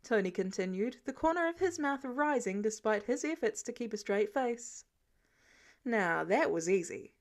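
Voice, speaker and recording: A woman's voice: a little high, animated, moderate pace, few pauses. A woman narrating fiction as a scripted audiobook reading.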